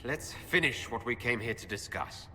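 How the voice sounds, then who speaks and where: An actor playing a firm, measured leader of an inquisition in a fantasy game. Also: male voice, medium pitch, expressive, pushed and bright, a little hiss or hum. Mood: calm, questioning. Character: resolute male leader of an inquisition, firm and diplomatic. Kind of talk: dramatic fantasy game acting. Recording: game score behind dialogue.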